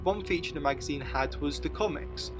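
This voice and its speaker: A man's voice: a little high, fairly quick, music in the background. A man reading scripted narration as the narrator of a documentary.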